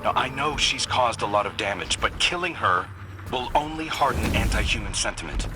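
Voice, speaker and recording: A man voicing a calm male mission commander giving brisk orders in a video game. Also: mid-range voice, natural voice. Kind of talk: video game radio briefing. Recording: music behind.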